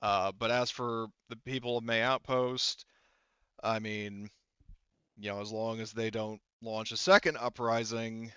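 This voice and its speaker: Hard-bitten woman rebel marine, played by a man, defiant and frank, smooth voice, tabletop game, close dry sound. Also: on the low side, flat delivery, a little slow, sharp tone, no room sound, muffled recording. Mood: measured, frank. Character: resolute female rebel marine leader, blunt and defiant.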